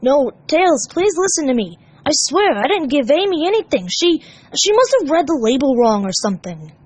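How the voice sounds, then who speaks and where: A woman's voice: high voice, big pitch swings, normal speed, no echo, voice pushed up. A woman voicing a blunt young male hedgehog who is grumpy yet caring, speaking plainly and earnestly. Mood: urgent, pleading.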